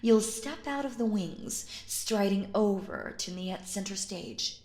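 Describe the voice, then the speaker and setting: A woman playing a sinister, possessive stage magician in a roleplay audio, speaking theatrically and coaxingly in a slow, silky manner. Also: mid-range voice, darkish tone, reverberant, close to an everyday voice. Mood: showy, commanding. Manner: theatrical, slow, silky, addresses listener as dear. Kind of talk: scripted roleplay audio acting.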